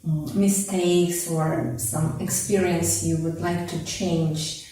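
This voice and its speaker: A woman English teacher interviewing a student, asking measured, encouraging questions. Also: on the low side, fairly even intonation, warm tone. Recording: echoey room, far from mic, faint noise.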